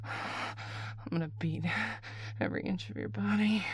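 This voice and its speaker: A breathy, low-pitched, domineering lover, voiced by a woman, possessive and needy and often sinking into a whisper. The delivery is slow and drawn out. It is recorded close and dry. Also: no echo. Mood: angry. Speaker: voice actor in an adult audio roleplay. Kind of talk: acted voicemail monologue.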